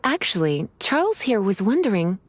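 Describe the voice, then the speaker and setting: A woman's voice: mid-range voice, big pitch swings, normal speed, soft background music, natural voice. Woman playing a drawling flirty librarian, sultry and teasing, swooping voice. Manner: drawling, calls people darling. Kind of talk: video game voice acting.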